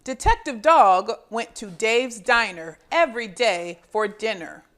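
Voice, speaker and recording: A woman hosting a children's phonics lesson and storybook read-aloud, enunciating deliberately and expressively as a teacher does. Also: fairly high pitch, expressive, fairly bright tone.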